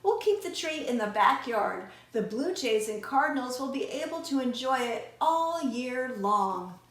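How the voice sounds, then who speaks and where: A woman's voice: a little high, lively intonation, measured pace, roomy sound. A woman voicing an eager, pleading little girl who is insistent and gushing, in a children's storybook. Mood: confident.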